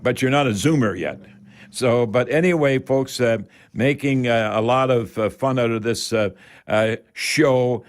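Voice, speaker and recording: A man's voice: mid-range voice, unhurried. Folksy older Canadian man hosting radio. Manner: folksy, rambling, repeats words for emphasis. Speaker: host of a home improvement radio show. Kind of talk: folksy live radio talk with product tips.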